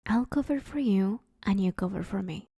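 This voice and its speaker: A woman's voice: mid-range voice, expressive, normal speed, creaky, warm tone, breathy edge, no room sound, studio quality. A woman playing a broke, sheepish but scheming villain in a conversational comedy audio roleplay, wheedling her neighbor in an awkward, hesitant way. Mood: reassuring, conspiratorial.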